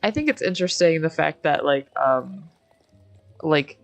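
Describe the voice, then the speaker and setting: Low-voiced American woman, slow and casual. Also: lively intonation, vocal fry, faint music behind. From Michigan, United States. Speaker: game livestream host. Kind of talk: casual livestream chat while gaming.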